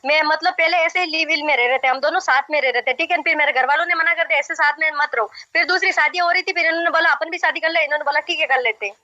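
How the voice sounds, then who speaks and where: Very high-pitched insistent young woman. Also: fast talker, hardly any pauses, sharp tone. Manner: insistent, repetitive, argumentative. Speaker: caller in a marriage dispute. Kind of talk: heated phone conversation. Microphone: phone call. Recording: dull echoey phone call recording.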